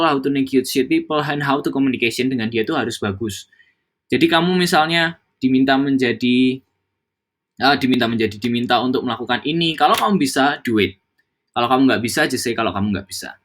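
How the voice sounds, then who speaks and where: A young man from Java walks his coworkers through guest service in a loose, conversational training session. He drifts between casual speech and English buzzwords, often pausing with fillers and restarting sentences. His voice sits at an ordinary middle pitch. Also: fairly bright tone. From Java, Indonesia. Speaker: hospitality staff trainer. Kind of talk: internal training talk.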